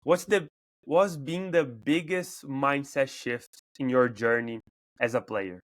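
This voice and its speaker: A young man from Brazil hosts a relaxed podcast interview in English, his second language, with a clear Brazilian accent. His voice sits at an ordinary middle pitch for a man. He talks haltingly, doubling words and restarting sentences as he gathers his thoughts. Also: dry recording, studio quality. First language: Portuguese. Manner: halting, repeats words while searching for phrasing. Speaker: podcast host. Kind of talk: casual interview chat.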